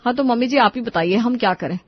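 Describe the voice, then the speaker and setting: A woman's voice: a little high, fast talker, dry recording, highs cut off, natural voice. A woman voicing a scheming, complaining young daughter-in-law in a cartoon story. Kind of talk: acted dialogue in a cartoon story.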